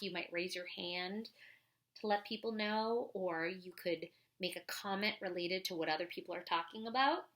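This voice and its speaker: A woman reading aloud and explaining to children as a teacher, instructive, deliberate and expressive. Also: medium pitch.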